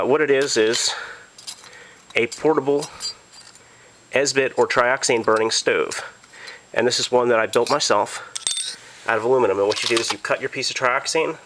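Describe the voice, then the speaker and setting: A man's voice: mid-range voice, pushed and bright, a little hiss or hum. A man getting over a cold, casually walking through his gear with lots of ums and uhs, recorded on a handheld camera in a carpeted room at home. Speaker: prepper vlogger.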